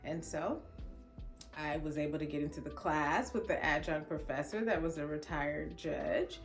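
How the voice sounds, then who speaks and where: Expressive woman telling her own story. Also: low-pitched, normal speed, soft background music. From Los Angeles, California. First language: Jamaican Patois. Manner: expressive, casual, acts out quoted dialogue. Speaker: attorney. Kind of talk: personal storytelling.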